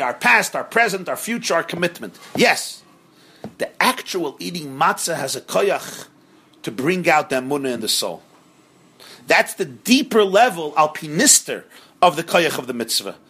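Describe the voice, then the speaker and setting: A rabbi teaching a class on a religious text, earnest and emphatic, who repeats phrases for stress and sprinkles in Hebrew and Yiddish terms. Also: male voice, high voice, bright, forceful tone, breathy edge. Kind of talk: explaining a religious text to students.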